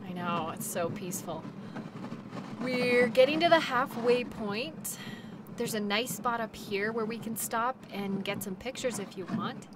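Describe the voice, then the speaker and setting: A woman acts out a cheerful female dog-sled guide in an audio roleplay. Her voice sits fairly high and she takes her time, sounding gentle, chatty and reassuring toward her passenger. Clear outdoor sled noise runs behind her. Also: unhurried, lightly acted. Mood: calm, friendly. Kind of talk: audio roleplay, naturalistic second-person acting.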